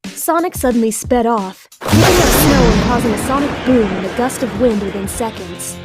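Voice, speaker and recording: A woman's voice: fairly high pitch, normal speed, loud music behind the voice. A woman reading scripted story narration for an audio drama.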